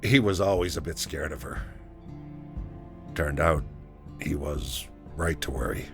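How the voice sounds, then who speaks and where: An actor playing a rattled soldier telling a halting, conversational first-person story in an audio drama, with a gravelly voice. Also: male voice, very deep voice, music in the background, exaggerated voice. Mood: wry, uneasy. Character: rattled male battalion soldier recounting a disturbing morning.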